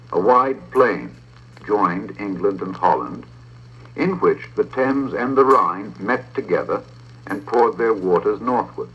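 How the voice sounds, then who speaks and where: A middle-aged man with a very low, husky voice reads scripted narration. His delivery is measured and formal, with precise diction. The sound is dull and muffled, like an old talking-book recording. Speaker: audiobook narrator and presenter.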